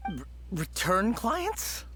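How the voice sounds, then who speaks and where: A woman's voice: medium pitch, wildly swooping pitch, extremely slow, fairly bright tone, faint music behind, full character voice. A woman playing a polite, hesitant funeral parlor assistant in a video game, apologetic and doubtful. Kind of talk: video game character acting.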